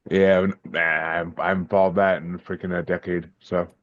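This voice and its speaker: A man's voice: low voice, level tone, normal speed, dry recording, phone-quality sound. A man from Massachusetts, a podcast guest, chatting in a laid-back, hesitant way and often repeating his words. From Massachusetts, USA. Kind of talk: casual interview chat.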